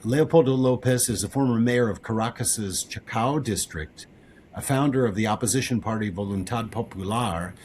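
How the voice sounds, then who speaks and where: Un conduttore legge con voce bassa e seria un testo preparato per il podcast. Il tono è costante e composto. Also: male voice, darkish tone, slight background noise. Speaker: podcast host. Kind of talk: scripted narration.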